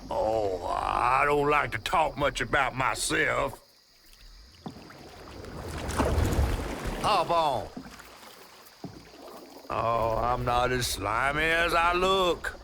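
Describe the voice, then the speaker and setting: An actor playing a folksy, cheeky giant catfish storyteller in a video game, with a drawling American Southern accent. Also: male voice, medium pitch, takes it slowly, gravelly, noisy background. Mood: folksy, teasing. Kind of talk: video game character acting and storybook narration.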